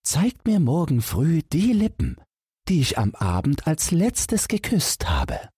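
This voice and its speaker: Guy doing a snooty possessed young lady in a fairy tale, bossy n courtly, pitched way up, husky. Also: wildly swooping pitch, slow pace, warm tone, no echo, studio quality, exaggerated voice. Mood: coyly threatening. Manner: commanding, aloof, archaic courtly phrasing. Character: haughty young noblewoman possessed by evil spirits.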